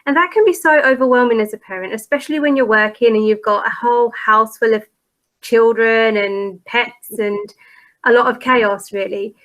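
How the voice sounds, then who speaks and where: A woman's voice: a little high, steady tone, normal speed, dull audio. A middle-aged woman presenting a parent workshop in a conversational, informal way, relatable and reassuring.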